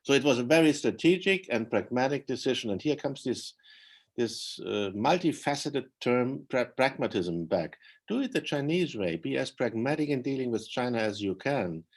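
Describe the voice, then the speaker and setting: Retired professor, reflective. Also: male voice, mid-range voice, lively intonation, moderate pace. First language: German. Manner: reflective, anecdotal, self-correcting. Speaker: panelist professor. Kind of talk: panel discussion.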